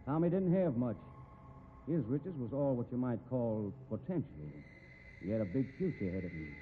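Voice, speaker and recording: A steady, folksy American cowboy played by a man reasons things out in a deliberate, plain-spoken way at an ordinary male pitch. He comes through a narrow, phone-like 1950s radio recording with faint hiss. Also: lightly acted. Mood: reasoning, firm.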